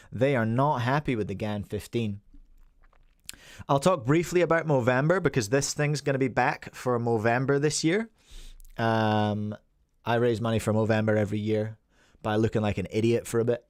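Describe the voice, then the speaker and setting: A man's voice: mid-range voice, no room sound, clean studio sound. A Scottish man in his forties hosting a casual livestream podcast, rambling and chatting with viewers by name. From Scotland. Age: 40s. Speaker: podcast host.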